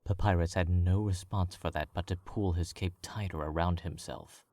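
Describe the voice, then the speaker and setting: A male narrator with a very low, deep voice reads the story in a calm and measured way. His tone stays steady and controlled as he carries the prose. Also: no echo.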